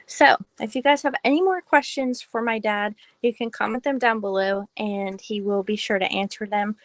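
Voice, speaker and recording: A woman's voice: mid-range voice, fairly even intonation, moderate pace, no room sound. A young woman from Massachusetts, chatting casually on a livestream into her phone in an unsure, giggly, rambling way. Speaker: host's daughter, co-host. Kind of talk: casual live chat.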